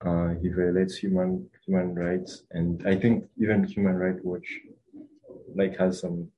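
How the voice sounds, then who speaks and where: A man's voice: low voice, little pitch movement, a little slow, muffled recording, a little hiss or hum. A young man from Rwanda, a student answering interview questions in a hesitant, casual way with many fillers and restarts. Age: young adult.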